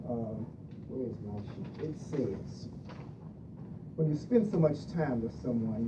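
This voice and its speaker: A middle-aged woman hosting a radio discussion on marriage, reading from a handout and laughing between points. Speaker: radio show host. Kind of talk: leading a group discussion on marriage. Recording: distant muffled recording with rumble.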